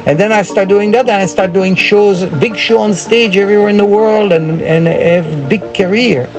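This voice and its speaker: High-voiced older Belgian man telling stories. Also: normal speed, poor audio. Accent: French-speaking Belgian. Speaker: interview guest, performance painter. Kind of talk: casual storytelling interview. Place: small living area inside a converted bus. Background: music.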